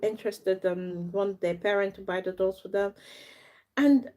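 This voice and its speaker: A woman's voice: medium pitch, fairly even intonation, unhurried, mellow. Chatty young woman from Africa, live-streaming. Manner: chatty, rambling, greets and thanks viewers as she talks. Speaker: doll maker and fashion designer. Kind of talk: casual live-stream chat with viewers.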